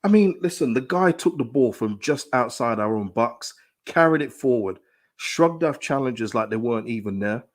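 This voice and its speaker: A man, hoarse from a dry throat, giving emphatic live-stream commentary on his football club, full of restarts and repetition. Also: mid-range voice, moderate pace. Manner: animated, emphatic, repeats phrases, frequent restarts. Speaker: football fan channel host. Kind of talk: live-stream fan commentary, reading chat.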